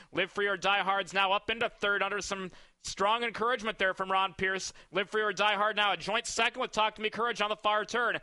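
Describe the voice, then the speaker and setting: A man calling a harness race, with his delivery rapid and building in excitement. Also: high voice, smooth, dry recording. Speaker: race caller. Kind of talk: live race call.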